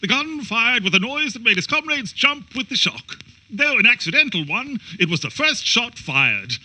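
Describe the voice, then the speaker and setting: Bright, high-voiced male narrator. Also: big pitch swings, moderate pace, low-quality recording. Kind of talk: scripted historical narration.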